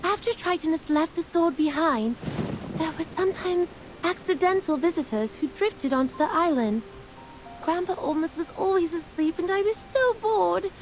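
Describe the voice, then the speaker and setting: A very high, mischievous game fairy, teasing and theatrical in every phrase. Music plays clearly behind the voice. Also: female voice, normal speed, poor audio. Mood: smug, playful. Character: playful teasing female fairy, bored and mischievous. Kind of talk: scripted game voice acting.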